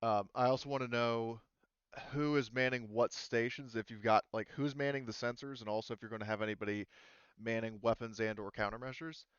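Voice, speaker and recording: Clear-voiced man running a game, dull sound. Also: mid-range voice, steady tone, brisk, no echo. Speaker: game master. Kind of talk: casual tabletop game explanation.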